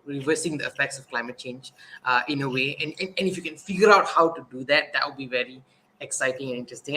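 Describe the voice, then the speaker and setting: A man's voice: medium pitch, pushed and bright, rough recording. A man hosting a casual online talk show, upbeat and laughing often, with frequent fillers and restarts. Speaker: host.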